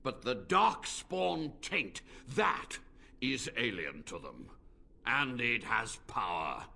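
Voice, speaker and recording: An ancient, forceful, slow-speaking blood mage. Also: male voice, mid-range voice, very animated, vocal fry, full character voice. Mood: grave, unrepentant. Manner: formal, theatrical, measured. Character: ancient unrepentant blood mage, grave and proud. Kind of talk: fantasy video game acting.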